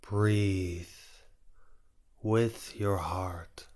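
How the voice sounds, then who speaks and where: A male meditation guide speaks extremely slowly in a low register. His delivery is flat and steady, with short instructive phrases set apart by long pauses.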